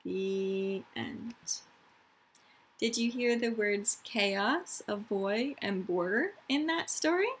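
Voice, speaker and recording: A woman teacher reading a picture book aloud to children in an expressive, deliberate storytelling manner, with explanatory asides. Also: mid-range voice, slight background noise. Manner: dramatic, deliberate storytelling delivery, addressing children directly.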